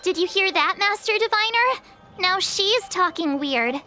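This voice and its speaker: Perky, impatient anime game girl: chatty, very high pitch, unhurried pace, expressive. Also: faint music behind, exaggerated voice. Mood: excited. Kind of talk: anime-style game voice acting.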